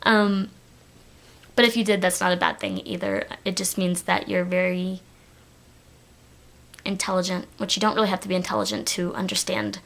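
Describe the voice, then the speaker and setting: A woman's voice: fairly low pitch, lively intonation, normal speed, vocal fry, long pauses, fairly bright tone. A teenage girl from Texas with a light Texan accent, chatting to camera in a rambling vlog with lots of fillers and restarts. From Texas, United States. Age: teens. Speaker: vlogger. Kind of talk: casual talk to camera.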